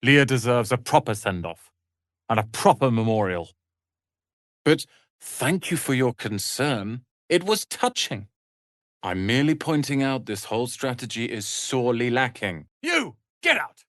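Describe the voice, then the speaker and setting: A man voicing a proud, sharp-tongued young tiefling wizard's apprentice in a video game, with haughty, theatrical, biting delivery. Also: mid-range voice, very animated, measured pace, no echo, clean studio sound. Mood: bitter, scornful. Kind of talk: dramatic video game voice acting.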